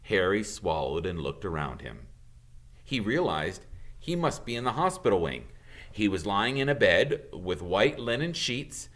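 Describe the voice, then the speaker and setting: A man's voice: mid-range voice, pushed and bright. A man reading a storybook aloud as a dramatic narrator. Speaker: audiobook reader.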